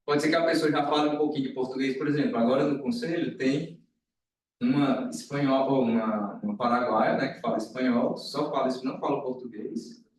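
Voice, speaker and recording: A man's voice: mid-range voice, steady tone, a little fast. A man with a Brazilian accent explains things informally at a meeting, hesitantly, circling back and often adding tag questions. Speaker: meeting speaker. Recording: echoey room, distant mic, faint noise.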